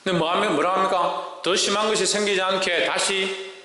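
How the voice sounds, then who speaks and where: The man at the pulpit on a Sunday, his sharp voice ringing around a cavernous sanctuary as he quizzes the pews on each verse in a South Korean regional dialect. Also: high voice, steady tone, fairly quick. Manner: insistent rhetorical questions, prods listeners for answers. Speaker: pastor preaching to a congregation. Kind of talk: verse-by-verse sermon with scripture reading. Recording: echoey hall with long reverb.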